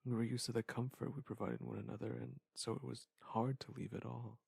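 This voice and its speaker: A man acting a wistful former lover in an intimate scripted monologue, speaking in a halting, reflective way with a husky, gravelly voice. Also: mid-range voice, level tone, a little mellow, dry recording, exaggerated voice. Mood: sad, reflective.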